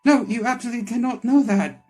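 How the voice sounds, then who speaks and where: A man's voice: extremely high pitch, fast, mellow, pitched above the performer's own voice. A man voicing a naive, literal-minded candy golem girl in a video game, with stiff, formal phrasing and music behind. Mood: anxious, earnest. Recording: background music under the voice.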